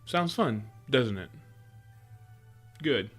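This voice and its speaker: A man's voice: mid-range voice, expressive, drawn-out words, fairly bright tone, slightly rough, faint music behind, full character voice. A man playing an affectionate, playful boyfriend in a roleplay audio drama, talking in a teasing, coaxing way. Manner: teasing, chuckles often, coaxing. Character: affectionate playful boyfriend spoiling his sweetheart with surprises.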